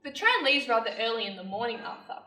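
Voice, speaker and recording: Stage monologue: young woman as cold, sharp-witted period sister, high voice, articulate and emphatic, distant in an echoey room. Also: big pitch swings, quick pace, slight background noise. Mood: cold, controlled. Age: young adult. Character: Hattie, cold sharp-witted accusing Victorian-era sister. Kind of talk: theatrical monologue performance.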